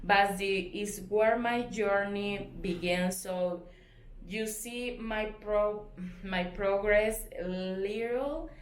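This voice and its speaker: A woman's voice: medium pitch, fairly even intonation, extremely slow, roomy sound. A Colombian woman, a native Spanish speaker, explaining a sewing project step by step in careful, hesitant English with a Colombian accent. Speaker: sewing tutor. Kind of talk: sewing tutorial narration.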